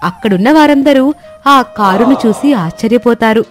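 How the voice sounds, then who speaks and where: A woman's voice: fairly high pitch, expressive, moderate pace, faint music behind. A woman reading scripted narration for a moral storybook tale.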